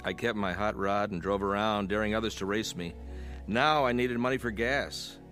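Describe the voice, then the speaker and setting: A male actor playing a reckless, likable young hot-rod stoner in a dramatised radio testimony, slangy and excitable. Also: fairly low pitch, normal speed, loud music behind the voice, natural voice. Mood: reflective narration.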